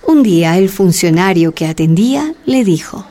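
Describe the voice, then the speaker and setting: A woman's voice: on the low side, expressive, normal speed, warm tone. A woman narrator delivering scripted radio drama storytelling. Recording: light music behind the voice.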